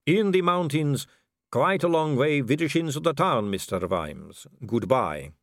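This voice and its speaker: A poised noblewoman vampire drawls each word slowly and silkily, lifting her voice a little higher and floating it through a wide, lilting melody, as a male audiobook narrator puts her on. The reading is close and dry, with no room sound. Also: lively intonation, a bit bright, no room sound. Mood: silky. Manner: slow, silky, languid delivery. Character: suave, poised aristocratic female vampire.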